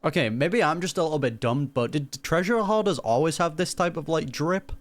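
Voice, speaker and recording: A man's voice: a little high, a little fast. A man giving casual, slangy, joking commentary while reacting to a video game. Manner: joking, slangy, laughs easily.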